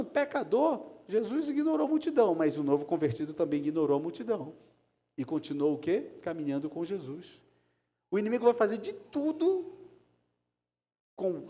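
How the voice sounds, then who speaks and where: A man preaching a sermon, swinging between loud calls and quiet asides. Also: a little high. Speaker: pastor. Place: church hall. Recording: long church hall reverb.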